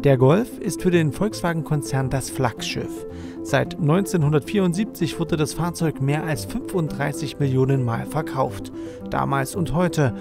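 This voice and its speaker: A male reporter narrates a scripted TV news piece. His voice sits fairly high and carries a noticeable gravelly fry, with music playing clearly underneath. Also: normal speed. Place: voice-over recording with music behind.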